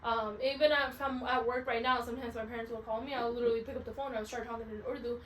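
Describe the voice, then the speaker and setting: A woman's voice: a little high, steady tone, racing, a bit bright. A woman in her twenties co-hosting a podcast, chatting frankly with lots of "like" and some swearing. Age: 20s. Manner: frank, swears freely, heavy use of "like". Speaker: podcast co-host. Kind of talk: casual chat. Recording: roomy echo, mic at a distance, faint hiss.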